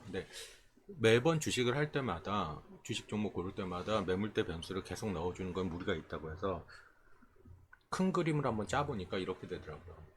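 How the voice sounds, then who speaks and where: A man with a fairly deep voice gives an informal tutorial over noticeable background noise. His intonation moves up and down a lot, and he explains step by step, often breaking off mid-phrase before going on. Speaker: stock trading instructor. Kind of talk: informal screen tutorial, explaining chart settings.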